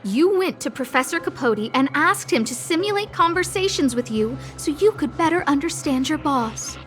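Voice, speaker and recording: A woman playing an earnest, dramatic young noblewoman boss in an anime-style video game, with emphatic, theatrical delivery. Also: sits high. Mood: accusing, triumphant.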